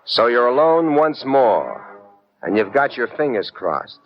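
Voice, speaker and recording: An actor playing a wry, smooth, hard-boiled American private detective in a 1950s radio drama, with slangy noir delivery. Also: male voice, medium pitch, sing-song, slow. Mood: wry, tense narration. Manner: wry, slangy, second-person noir narration. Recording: dull vintage radio recording, music underneath.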